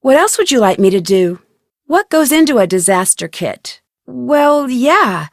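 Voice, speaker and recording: High-voiced, inquisitive young female detective. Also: sing-song, measured pace, no room sound, clean studio sound. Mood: curious, friendly. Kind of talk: video game dialogue acting.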